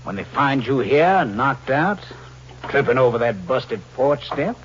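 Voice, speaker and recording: An actor playing a sly, greedy, cowardly killer in 1940s radio drama style. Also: male voice, medium pitch, very animated, measured pace. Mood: sly scheming. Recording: dull, hissy vintage radio recording.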